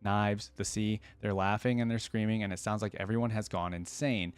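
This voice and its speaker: Guy with a lowish voice doing reaction stuff and reading stories out loud, super dry room. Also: steady tone, normal speed, clear, resonant tone, no room sound. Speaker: reaction video host. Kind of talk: casual reaction commentary mixed with reading a story aloud.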